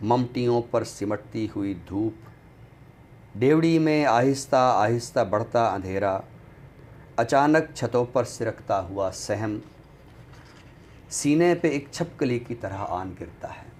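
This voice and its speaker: A man reciting poetry on a literary show in a deliberate, expressive way, returning to refrains. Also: medium pitch. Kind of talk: dramatic Urdu poetry recitation, then book talk. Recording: clearly noisy background ambience.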